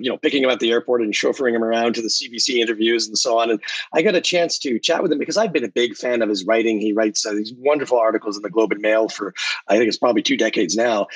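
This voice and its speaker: A man's voice: mid-range voice, breathy edge, no echo, rough recording. A man co-hosting a talk podcast, chatty and appreciative, rambling through his questions. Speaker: podcast co-host. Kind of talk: conversational interview.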